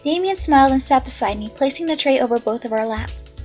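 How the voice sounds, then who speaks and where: A hoarse young woman reading a visual novel aloud, chatty with excitable asides. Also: high-pitched, fairly quick, faint music behind. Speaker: game reader and narrator.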